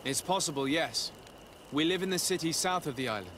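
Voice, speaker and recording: Calm, courteous diplomat, slightly high voice. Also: male voice, slight background noise. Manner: measured, formal, conciliatory. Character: calm diplomatic male legate, courteous young noble envoy. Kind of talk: scripted video game cutscene dialogue.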